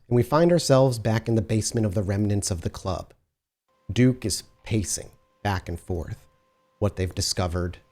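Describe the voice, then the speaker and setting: A man's voice: on the low side, a little mellow. A man running a tabletop role-playing game as storyteller, narrating scenes and answering players, often restarting his sentences. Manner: descriptive, restarts mid-sentence.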